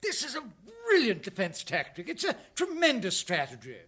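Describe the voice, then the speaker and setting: An actor playing a smug, pompous prime minister of an authoritarian regime in a satirical radio drama, oily and declamatory. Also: male voice, sits high, huge pitch swings, normal speed, a bit bright, slightly rough, heavily acted. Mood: self-satisfied.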